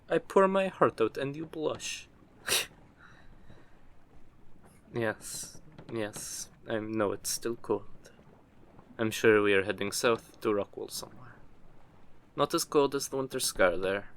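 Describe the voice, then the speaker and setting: Audio roleplay: male actor for a low-pitched, gruff mercenary, teasing and protective, hesitant when opening up; faint noisy ambience. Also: fairly bright tone, heavily acted. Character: gruff, guarded captured mercenary with a soft side. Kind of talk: intimate audio roleplay acting.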